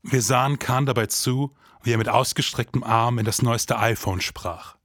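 A man's voice: mid-range voice, steady tone, normal speed, fairly bright tone, no echo, clean studio sound. A man in his forties hosting a podcast with scripted, detective-noir style narration. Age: 40s.

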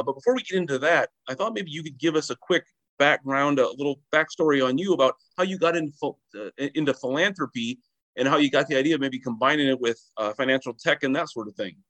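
A man's voice: medium pitch, fairly bright tone, low-quality recording. A man hosting a podcast, reading a scripted intro and then chatting with his guest. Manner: brisk scripted intro, then friendly interjections. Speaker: podcast host. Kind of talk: podcast interview.

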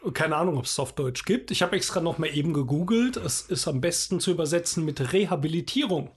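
Husky man with dry asides. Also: a little high, expressive, fast. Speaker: podcast co-host. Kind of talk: casual chat about board games.

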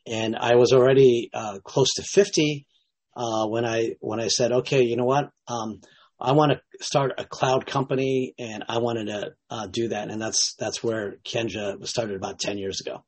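Husky-voiced man in his late fifties. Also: mid-range voice, moderate pace. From United States. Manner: relaxed, hesitant, many restarts and fillers. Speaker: company CEO, interview guest. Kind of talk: casual interview answers.

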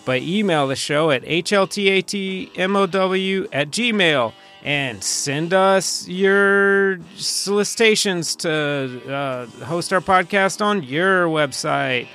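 A man's voice: high voice, slow, hardly any pauses, pushed and bright, faint music behind. A man hosting a casual podcast, bantering in a rambling, dryly joking way. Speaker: podcast host.

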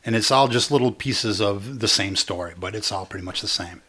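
A man's voice: on the low side, brisk, pushed and bright. A man co-hosting a casual podcast, explaining things loosely with lots of fillers and restarts. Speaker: podcast co-host.

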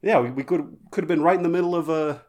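A man's voice: fairly high pitch, fast talker, a little mellow. A man co-hosting a podcast, telling jokey, rambling stories in casual banter. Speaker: podcast co-host.

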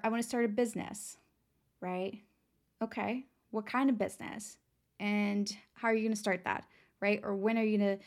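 Upbeat woman with a raspy, worn voice. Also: fairly high pitch. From San Antonio, Texas. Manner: chatty, upbeat, addresses listeners as girl. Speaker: podcast host. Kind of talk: casual motivational podcast talk.

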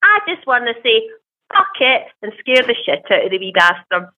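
Over a thin, phone-like line comes a fairly high-voiced Scottish ghost, played by a woman. She is sardonic, blunt and mischievous, a weary old spirit fed up with behaving, in an improvised comedy sketch. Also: sing-song, normal speed.

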